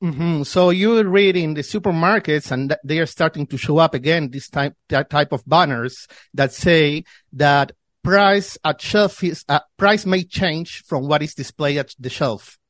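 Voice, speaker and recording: Man, 30s, from Argentina, podcast guest, explanatory, repeats and restarts, slightly high voice, dry sound. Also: unhurried, hardly any pauses, fairly bright tone, no room sound. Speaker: guest, financial newsletter founder. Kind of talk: podcast interview.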